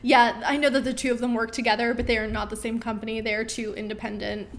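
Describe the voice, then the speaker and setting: Upbeat woman host, fairly high voice. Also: little pitch movement, quick pace. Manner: chatty, upbeat, with frequent ums. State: losing her voice. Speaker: host of a live Q&A stream. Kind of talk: casual live-stream chat reading viewer questions.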